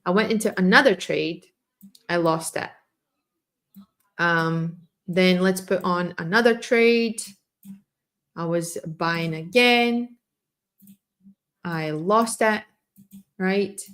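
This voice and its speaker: A woman hosting a live trading stream, losing her voice and clearing her throat as she explains in a loose, restarting way. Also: lowish voice, slow pace, long pauses, a bit bright.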